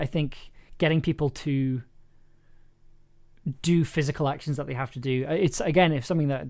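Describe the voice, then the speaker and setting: A man chatting casually on a livestream as he works, enthusiastic but halting, repeating words and letting thoughts trail off. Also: medium pitch, darkish tone. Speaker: audio drama maker livestreaming an editing session. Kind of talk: casual livestream chat while working.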